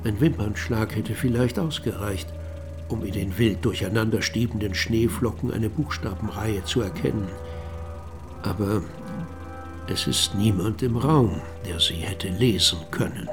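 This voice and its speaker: A man playing a reflective radio drama narrator who tells his account in a measured, cryptic way. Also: lowish voice, over music. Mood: measured, enigmatic. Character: reflective male narrator recounting evidence and memories. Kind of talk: scripted radio play narration.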